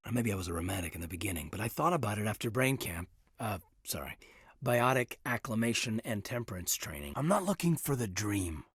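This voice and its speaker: A reserved, dutiful military man in a video game. His voice is husky and sits at a middling pitch, moving up and down expressively as he talks in a sincere, measured way. The recording is close and dry. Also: no echo. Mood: wistful, sincere. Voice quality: slightly husky. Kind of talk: naturalistic video game character dialogue.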